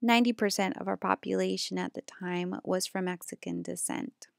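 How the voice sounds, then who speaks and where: A young woman from the United States, a graduate student reading a prepared slideshow presentation in a steady, earnest way. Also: mid-range voice, moderate pace, vocal fry, no echo, studio quality.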